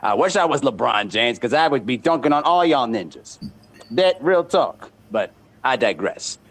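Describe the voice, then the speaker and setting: Animated, boastful man in his thirties. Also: mid-range voice, normal speed. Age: 30s. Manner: boastful trash talk, repeats himself. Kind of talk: casual podcast banter.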